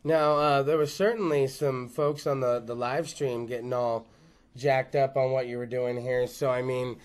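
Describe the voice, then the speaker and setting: A man hosting a podcast interview, with loose, joking, profane chat and quick questions. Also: medium pitch, steady tone, clean tone. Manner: loose, swearing, jokey, asks quick questions. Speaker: podcast host.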